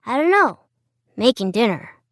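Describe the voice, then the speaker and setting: Woman voicing a small boy, slightly high-pitched. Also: sing-song, moderate pace, dry recording, clean studio sound. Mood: matter-of-fact. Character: small boy, dutiful, plainly answering his father.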